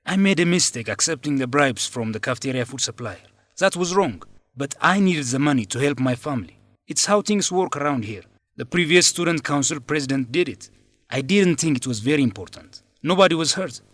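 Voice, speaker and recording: A defensive young student leader in a radio drama. His pitch sits fairly high and moves dramatically as he argues with emotional insistence, in a close, dry recording. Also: lively intonation, brisk, fairly bright tone, dry recording. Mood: pleading, defensive. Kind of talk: educational radio drama acting.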